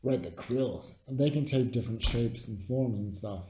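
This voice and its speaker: A man with a dark, relaxed tone and a mid-range voice talks casually as a podcast co-host. He tends to grope for words as he goes. The sound is noticeably roomy and echoey. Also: mid-range voice, moderate pace, slight background noise. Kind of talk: casual movie review chat. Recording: echoey room.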